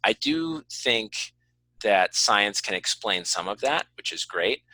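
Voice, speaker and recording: A man's voice: lowish voice, animated, slow, pushed and bright, dry recording, low-quality recording. A man hosting a podcast, talking casually in an earnest, explanatory way and qualifying his points as he goes. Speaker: podcast host. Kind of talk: casual conversational interview talk.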